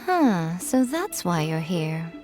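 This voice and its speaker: A woman's voice: on the low side, very animated, slow pace, over music. A woman playing a sly, teasing, elegant fox-like shrine priestess in a video game, with coy, airy delivery. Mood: playful, sly. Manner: coy, airy, theatrically mock-hurt. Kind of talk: English video game voice acting.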